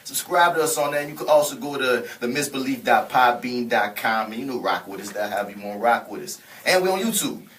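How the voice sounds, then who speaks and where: A man's voice: medium pitch, lively intonation, fast talker, hardly any pauses, fairly bright tone, distant mic, slight background noise. A man from New hosting a radio show, talking casually with slang and frequent laughs. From New Orleans. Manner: laid-back, slangy, laughs often.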